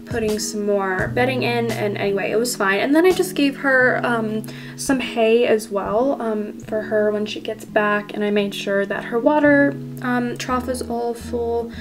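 Chatty high-voiced girl with background music. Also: a little slow. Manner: chatty, many fillers and "you know". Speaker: toy horse vlog creator voicing a rider. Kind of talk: casual vlog narration. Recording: voice-over with clear music underneath.

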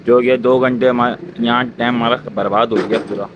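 A young Pakistani man chats into a handheld phone as a travel vlogger. His talk is slangy and full of complaint, at an average pitch. Clear vehicle and outdoor noise sits around the voice. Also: fairly even intonation, a little fast, highs cut off. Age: young adult. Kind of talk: casual vlog chat. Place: outdoors at roadside workshops and in a parked car. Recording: noisy roadside with vehicle and wind noise.

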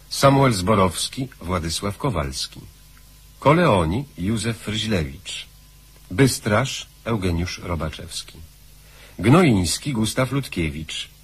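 A man with a husky voice reading a formal scripted announcement. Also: fairly low pitch.

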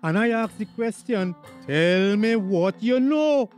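An actor playing a stern, easily exasperated village police officer in a radio drama, formal and officious, with a Tobagonian accent. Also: male voice, high voice, animated, takes it slowly, darkish tone, soft background music.

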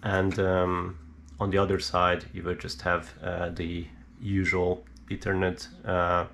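A man giving a casual, unscripted hands-on explainer as a hobbyist, halting and hesitant as he thinks aloud. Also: deep voice. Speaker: DIY electronics hobbyist presenter. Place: small indoor home workshop. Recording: steady background noise.

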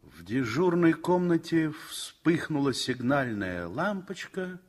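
A man narrating a 1960s radio drama in a measured, lyrical way. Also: on the high side, very animated.

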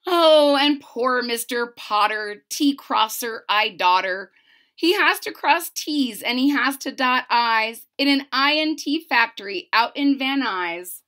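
A woman with a high, bright voice reads a children's story aloud. She takes her time, leaning into the rhymes with emphatic, sing-song phrasing. Her pitch swings widely as she moves between the text and her own chatty asides. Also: slow. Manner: animated, emphatic, sing-song rhyming delivery. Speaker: storyteller reading a picture book aloud. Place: small furnished room at home.

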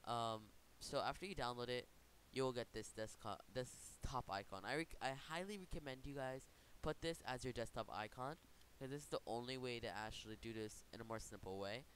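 Man, tutorial host, medium pitch, casual rambling delivery, self-correcting, computer microphone, faint hiss. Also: moderate pace, a bit bright. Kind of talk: informal screen-recorded software tutorial. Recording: noticeable background hiss.

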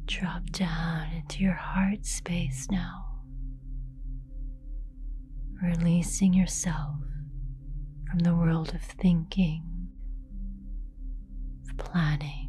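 A woman leading a guided meditation in a soft, breathy near-whisper, calm and gentle, with long unhurried pauses. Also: on the low side. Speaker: yoga nidra meditation guide. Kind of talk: guided meditation, slow and soothing narration.

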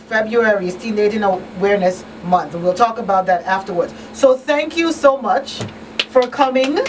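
A woman presenting a live radio talk show as host in a small studio. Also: on the high side. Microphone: boom-arm studio microphone. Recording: slightly distant, faint room noise.

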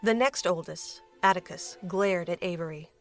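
A woman's voice: fairly low pitch, moderate pace. Woman narrating an audio drama over faint music.

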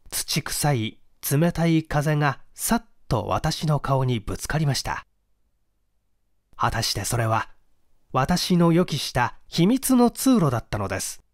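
A man reads a literary mystery aloud like a dramatic storyteller. His intonation rises and falls widely, yet the phrasing stays measured and formal. The recording is close and fairly dry. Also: a little high, no room sound. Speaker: audiobook reader, stage actor. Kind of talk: scripted mystery story narration, literary reading.

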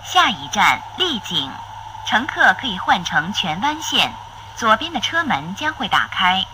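A woman's voice: mid-range voice, big pitch swings, unhurried. A woman giving formal, scripted public transit announcements as a recorded train announcer. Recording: clips with faint station noise.

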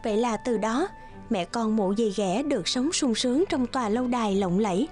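A woman's voice: fairly high pitch, lively intonation, moderate pace, a little mellow, faint music behind. A woman giving scripted fairy-tale narration as the storyteller of a cartoon.

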